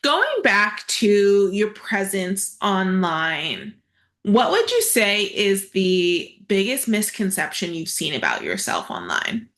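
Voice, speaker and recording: A woman's voice: mid-range voice, sing-song, slow, a bit bright. A woman hosting a podcast, asking questions in a casual, blunt and profane way. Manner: blunt and profane, cuts in with agreement. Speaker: podcast host. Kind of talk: casual interview chat.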